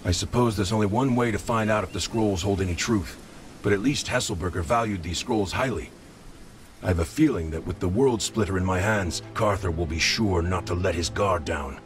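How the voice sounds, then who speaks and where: An actor playing a stern, resolute medieval lord in a fantasy game, speaking gravely and commandingly. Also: male voice, sits low, normal speed, faint music behind, lightly acted.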